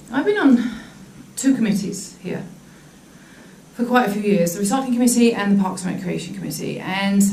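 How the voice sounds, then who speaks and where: A woman's voice: a little high, a little mellow, slight background noise. A woman speaking hesitantly and earnestly during public comment at a town meeting. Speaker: public commenter, committee volunteer. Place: large echoey meeting room. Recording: echoey room from far off.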